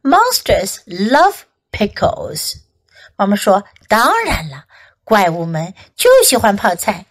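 A woman's voice: mid-range voice, very animated, slow, dry recording, pitched below the performer's own voice. A woman voicing an affectionate, bossy storybook mother with a motherly, emphatic way of talking. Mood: affectionate, matter-of-fact.

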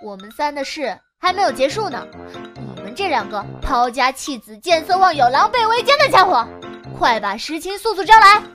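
A woman's voice: high voice, very animated, moderate pace, pushed and bright, faint music behind, exaggerated voice. A woman playing a childlike, melodramatic, clingy young cafe girl in a Chinese audio drama. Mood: mock accusing. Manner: whiny, theatrical, playful.

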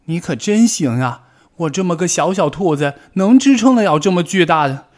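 A man voicing a small excitable male rabbit in a storybook, with agitated, squeaky outbursts. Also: measured pace. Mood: indignant.

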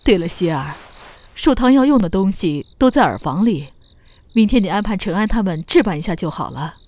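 Woman acting animated motherly older matriarch. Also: medium pitch, fairly quick, no echo, natural voice. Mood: fond, forgiving. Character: affectionate, firm older mother-in-law and grandmother. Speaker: voice actor in an amateur audio drama. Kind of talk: Chinese period audio drama acting.

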